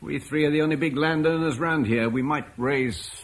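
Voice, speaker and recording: A man's voice: a little high, normal speed. An actor playing Julian, a proud landowner refusing to leave his home, in an old radio play. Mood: uneasy. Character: proud Romano-British landowner Julian, stubborn. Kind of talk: 1950s BBC radio drama acting.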